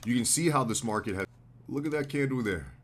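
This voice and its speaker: An American man with a slightly hoarse voice, hosting a live trading stream in a relaxed, slangy way. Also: mid-range voice, brisk, a little hiss or hum. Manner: relaxed, loose, slangy explaining with fillers. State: slightly hoarse, getting sick. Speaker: trading livestream host. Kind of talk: casual live market commentary.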